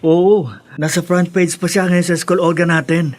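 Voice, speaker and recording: A high-pitched young male voice from a man acting a schoolboy in a Filipino radio drama. He sounds friendly and teasing, with a Filipino accent. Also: level tone, normal speed, close to an everyday voice. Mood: amused, friendly. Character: teasing, kind-hearted teenage schoolboy.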